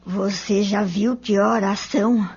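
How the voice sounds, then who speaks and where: A woman's voice: mid-range voice, steady tone, slow pace. A woman playing a worried, scolding wife and mother in an audio novel, with a Brazilian accent. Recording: dull lo-fi audio drama recording.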